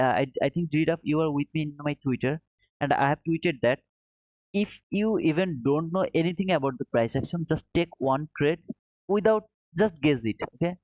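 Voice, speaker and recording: A man's voice: on the high side, a little mellow, no room sound. A man from Bangladesh, not an English speaker, hosting a trading livestream in an earnest, repetitive way and calling his viewers brother. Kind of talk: casual live-stream commentary. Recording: dull muffled dry sound.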